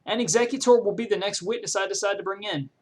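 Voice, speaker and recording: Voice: mid-range voice, fast talker, slightly rough. A video creator giving conversational play-by-play game commentary, explaining each decision as they think it through aloud. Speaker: gaming video creator commentating own battle. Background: music behind the voice.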